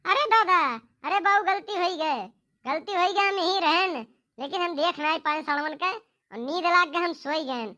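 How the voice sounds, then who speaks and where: Comic cartoon farm son, played by a woman: high-pitched, sharp, hapless, whining, Awadhi accent. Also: normal speed. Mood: frightened begging. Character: hapless young farm son, pleading and complaining. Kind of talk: amateur comic cartoon dialogue.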